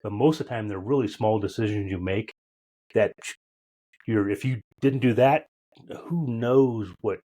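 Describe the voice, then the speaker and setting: A man in his fifties hosts a podcast interview in a fairly low voice. He talks in a reflective, conversational way and often pauses to search for the right words. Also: warm tone. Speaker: podcast host.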